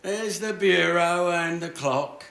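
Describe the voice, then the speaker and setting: A man's voice: high-pitched, slow pace, creaky, fairly bright tone, full character voice. A man playing a cantankerous, sardonic old father in a stage radio comedy, blustering and grumbling. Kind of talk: stage radio-play acting in old-fashioned domestic comedy. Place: theatre stage before an audience. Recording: roomy live theatre stage recording.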